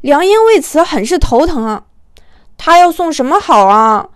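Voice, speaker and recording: A woman with a high voice reads a light romantic comedy novel as an audiobook. She tells it expressively and colloquially, drawing out little particles like a storyteller. The sound is dry and close, with no room to it. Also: big pitch swings, normal speed, dry recording. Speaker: audiobook narrator. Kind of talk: expressive scripted narration of a comic romance novel.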